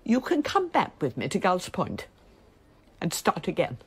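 A woman's voice: lowish voice, huge pitch swings, a little slow, breathy edge, exaggerated voice. An actress playing an imperious older English noblewoman in a radio drama, formal, wry and insistent, with an English accent. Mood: earnest, insistent.

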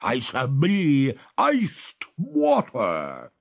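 A genteel lady ghost of the water pleads in a high, stretched, imploring voice, as a man reading the story puts her on. Her lines are slow and swoop widely in pitch, with a slightly odd, strained timbre. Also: big pitch swings, darkish tone, exaggerated voice. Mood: pleading.

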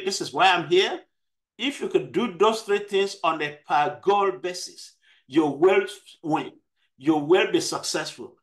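A man with a South Texas accent speaks in an emphatic, motivational way, hammering home key phrases by repeating them. His voice sits a little high for a man. It is picked up through a video call. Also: fairly bright tone. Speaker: club member giving a speech. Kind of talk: motivational club speech.

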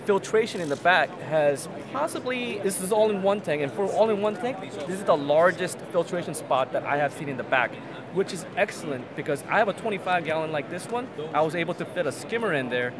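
A man in his thirties tours a busy expo floor, talking up aquarium gear with eager enthusiasm and jokes at his own expense. His voice sits a little high. A dense crowd murmurs behind him. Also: expressive, fairly quick. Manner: enthusiastic, self-deprecating. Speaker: aquarium channel host. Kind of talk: trade show walkthrough and interviews. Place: busy indoor expo hall. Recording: crowd chatter from a busy hall.

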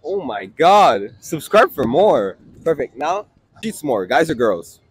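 A young man asking passers-by quick questions outdoors, teasing and playful, into a handheld mic. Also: fairly high pitch, sing-song, breathy edge. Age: young adult. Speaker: street interviewer. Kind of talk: casual street interview questions. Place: outdoors on a beach promenade and street.

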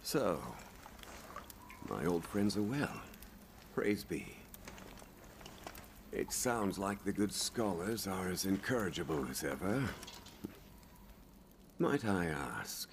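A wandering old-guard hunter in a video game, solemn and courteous. His voice is deep and gravelly, with formal phrases delivered slowly over music. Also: expressive, slight background noise, full character voice. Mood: calm, warm. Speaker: veteran hunter character. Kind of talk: scripted video game cutscene dialogue. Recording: music under the voice.

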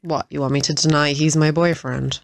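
An actress playing a cruel, gloating female villain in an audio drama, taunting with drawn-out emphasis. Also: low voice, steady tone, creaky, dry recording. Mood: smug, taunting.

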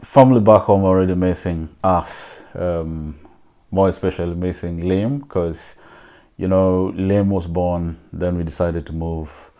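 A man in his thirties with a Ugandan accent, speaking softly and reflectively in an interview from his living room. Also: low voice, fairly even intonation, measured pace. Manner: soft-spoken, reflective, with fillers. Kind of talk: personal interview answers.

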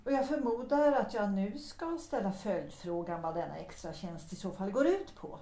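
A woman's voice: medium pitch, expressive, mellow, lightly acted. A woman acting a proud, determined widowed mother in a Swedish audio drama, speaking in a firm, cool, formal way. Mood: cool, guarded. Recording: roomy, echoing sound.

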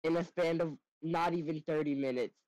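A man's voice: fairly high pitch, fairly even intonation, normal speed, darkish tone, no echo, rough recording. A man on voice chat teasing a friend in a dry, sarcastic way. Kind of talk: casual gaming chat.

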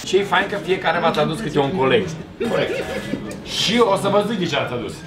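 A man's voice: fairly high pitch, sing-song. A middle-aged man, a chef, explaining dishes to guests in a restaurant in a friendly way.

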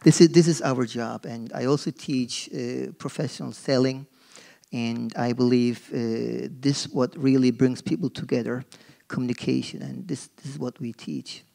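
A man's voice: medium pitch, fairly even intonation, normal speed, gravelly, mellow. A middle-aged man from Turkey with a Turkish accent, speaking on a forum panel as a marketing professor, hesitant with many fillers and restarts, into a podium microphone on a stage. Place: stage in a dark auditorium. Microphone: gooseneck podium mic.

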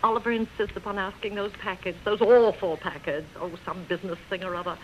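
A woman's voice: medium pitch, fairly even intonation, a little fast, lightly acted. A woman playing a fussy, snobbish society mother in a 1930s radio drama, fluttery in manner. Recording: dull, noisy old radio recording.

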